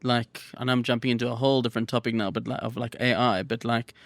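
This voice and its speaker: Man, director guest, level monotone delivery, dry sound. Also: medium pitch, fast talker, creaky, no echo. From South Africa. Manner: reflective, many fillers. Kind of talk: casual podcast interview.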